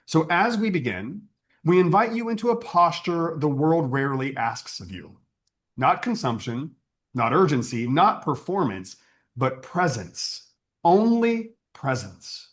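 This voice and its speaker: Male spiritual service leader, slightly high pitch, highly varied melody, earnest and measured scripted address. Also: big pitch swings.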